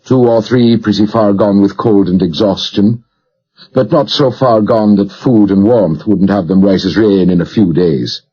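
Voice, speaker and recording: Jolly Irish medical officer read by a male narrator, rich brogue, good-humoured and quick, audiobook dialogue, slightly dull sound. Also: on the low side, dark tone, husky. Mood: brisk, concerned. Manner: jovial, says "old boy" often. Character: short, chubby, good-humoured Irish doctor, quick and jovial.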